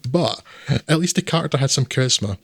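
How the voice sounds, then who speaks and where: A man's voice: mid-range voice, animated, brisk, slightly rough, dry recording. A man co-hosting a podcast, chatting casually about films in a rambling, dismissive way with lots of fillers. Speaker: podcast co-host. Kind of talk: casual film review chat.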